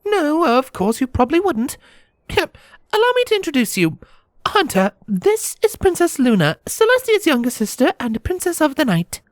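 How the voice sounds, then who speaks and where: A man's voice: very high voice, sing-song, moderate pace, no room sound, clean studio sound, pitched above the performer's own voice. A man voicing a chirpy, polite female pony mayor with a breezy, slightly scatterbrained manner, in a fantasy story. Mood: absently cheerful.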